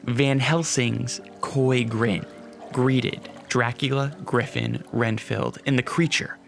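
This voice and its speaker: A man narrates a radio adventure serial in a measured storytelling way. His voice sits at a medium pitch, with faint music behind. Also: fairly even intonation, vocal fry. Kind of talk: scripted radio drama narration.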